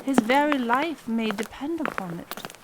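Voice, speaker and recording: A woman playing a gentle, devout young queen in an audio drama, formal, measured and pleading. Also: fairly high pitch, expressive, heavy background noise. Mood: humble, firm. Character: gentle, devout young medieval queen, humble yet steadfast. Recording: music under the voice.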